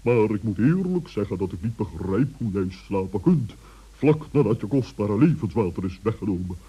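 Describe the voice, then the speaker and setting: A man playing a stern, bossy old owl in a children's radio play, pompous and reproving with drawn-out emphasis. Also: lowish voice, expressive, warm tone, husky. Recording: dull vintage radio recording.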